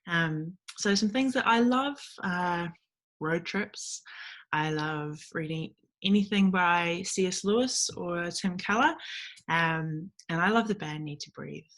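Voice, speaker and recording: A young woman with a New Zealand accent giving a relaxed, chatty recorded talk to a church group from home, with frequent ums. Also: on the low side, slow. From Fiji. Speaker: guest speaker at a ministry meeting.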